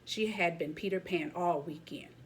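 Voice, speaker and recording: A woman reading a children's picture book aloud as a teacher would, expressively, pausing to comment to young listeners. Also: fairly low pitch, slightly rough.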